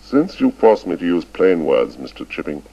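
A man's voice: lowish voice, very animated, moderate pace, a little mellow, voice pushed up. A man voicing a cold, stern middle-aged headmaster who speaks in a clipped, formal way. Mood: icy. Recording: dull lo-fi recording.

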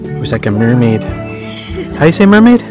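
A man's voice: medium pitch, sing-song, moderate pace, music in the background. A man, chatting casually outdoors as he films with a handheld camera. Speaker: travel companion filming. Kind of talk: casual chat. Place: outdoors by the sea. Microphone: handheld camera he is holding.

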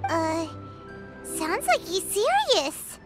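A tiny childlike fairy companion for a video game. The voice is extremely high and squeaky, excitable and wildly melodic, over prominent music. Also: female voice, very slow, heavily acted. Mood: startled.

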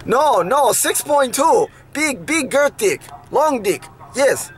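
A man in his thirties voicing a simple, earnest foreign man in halting, repetitive broken English on a prank call. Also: very high voice, big pitch swings, full character voice. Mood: insistent. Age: 30s. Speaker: prank caller, video host. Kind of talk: prank phone call improvisation. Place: inside a car. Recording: car interior with faint noise.

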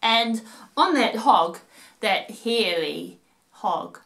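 A middle-aged woman hosting storytime for children, speaking in a deliberate, emphatic, playful storytelling style. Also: fairly high pitch, lively intonation, vocal fry, a bit bright. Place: small home living room. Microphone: camera a few feet away.

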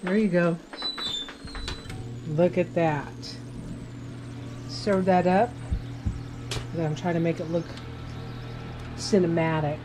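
A woman's voice: lowish voice, fairly even intonation, slow pace, long pauses, over music. A woman casually talking through a recipe step by step as she cooks in her home kitchen, filming on a handheld phone. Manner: chatty, self-narrating each step. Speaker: home cook vlogger. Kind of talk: casual cooking demonstration.

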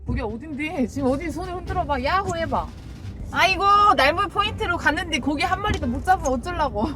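Woman fishing captain, Jeolla accent, high and brash, teasing tone, loud music underneath. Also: expressive, fairly quick. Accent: Jeolla Korean. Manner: brash, teasing, bickering with viewers. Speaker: fishing boat captain and live streamer. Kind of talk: casual live-stream chat. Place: on a boat at sea, outdoors.

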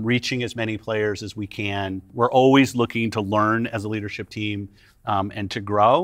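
A middle-aged man, a gaming company executive, answering podcast interview questions in a calm, deliberate way. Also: on the low side, no echo, studio quality. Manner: calm and deliberate, careful with his words. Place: studio set.

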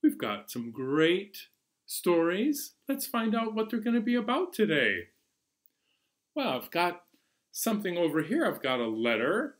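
Slow-paced man hosting children's storytime. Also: sits high. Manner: animated, playful, addressing young children. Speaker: children's library storytime host. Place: small living room at home, indoors.

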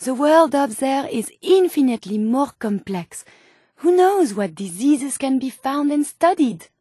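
A woman's voice: sits high, lively intonation, unhurried, dark tone, dry recording, studio quality. An actress voicing a polite, earnest, curious female medical robot companion in a video game, with formal, precise, quaint phrasing.

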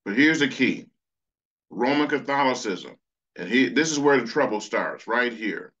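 A man's voice: medium pitch, expressive, normal speed, a bit bright, dull audio. A man preaching a sermon over slides, earnest, reading scripture passages aloud. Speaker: pastor.

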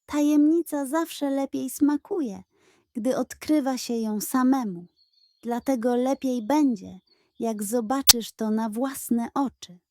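A woman's voice: high-pitched, takes it slowly, dry recording. A woman voicing a sly, greedy male pug in a children's audio tale, talking in a grandiose, mock-solemn, scheming way. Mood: secretive.

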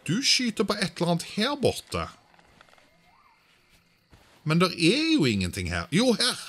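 A man's voice: high-pitched, wildly swooping pitch, a bit bright. A man doing casual let's-play commentary, thinking aloud and sprinkling in English exclamations. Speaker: gaming video host.

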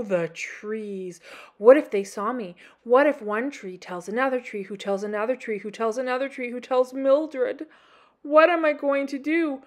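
A woman playing an anxious, defensive little male porcupine from a children's storybook, speaking in a dramatic, emphatic way. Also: high voice. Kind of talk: children's picture-book character voice.

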